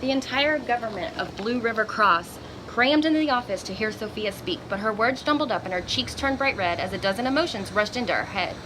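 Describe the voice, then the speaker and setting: Woman, children's read-aloud, fairly high pitch, expressive storytelling, asides to kids, noisy amateur recording. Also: a little fast, hardly any pauses. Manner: expressive, acts out exclamations and dialogue.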